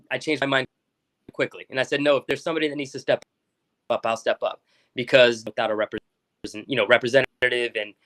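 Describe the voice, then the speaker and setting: A man's voice: mid-range voice, a bit bright, rough recording. A young man from South Florida, a school board member as guest, talking casually with many restarts and fillers. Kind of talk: casual interview talk.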